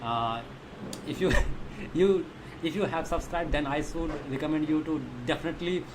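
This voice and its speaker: A man with an Indian accent, a native Thethi speaker, chats casually on a coding livestream in halting, repetitive English, searching for his words. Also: fairly high pitch, noisy background. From Magadha region of India. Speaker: live coding streamer.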